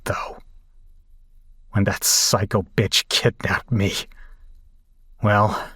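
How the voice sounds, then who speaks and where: An actor playing a cynical biker who narrates an audio drama in a wry, bitter, self-mocking way. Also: male voice, fairly low pitch, dry recording, studio quality. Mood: bitter sardonic. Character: cynical, bitter biker man telling his story.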